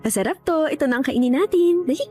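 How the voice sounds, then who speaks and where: A woman playing a pushy, teasing young best friend in a radio drama, with an expressive, coaxing delivery. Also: high voice, quick pace. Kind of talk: Tagalog radio drama acting. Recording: music behind the voice. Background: music.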